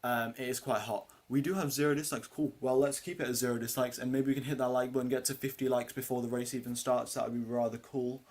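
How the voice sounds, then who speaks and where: A teenage boy with a deep voice for his age, hosting a casual live race watchalong, rambling with lots of ums and uhs as he answers chat questions. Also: level tone, quick pace, few pauses, a little mellow. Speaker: live stream host.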